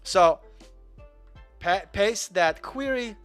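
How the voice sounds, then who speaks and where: A young man from Russia explains his code in a relaxed, chatty way, wandering off on small tangents and poking fun at himself. His voice sits a little high for a man. Soft music plays faintly underneath. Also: drawn-out words. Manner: chatty, rambling, self-deprecating. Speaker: coding tutor. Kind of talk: casual live coding walkthrough.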